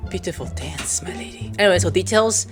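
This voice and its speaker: A woman's voice: on the low side, brisk, music in the background. A woman hosting a gaming video with casual, rambling commentary and exclamations, prone to swearing. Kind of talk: casual let's play commentary.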